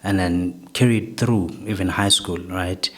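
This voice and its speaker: A young man from South Africa with a soft voice, reflectively telling his life story as an interview guest, often ending points with "right?". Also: low-pitched, steady tone, unhurried.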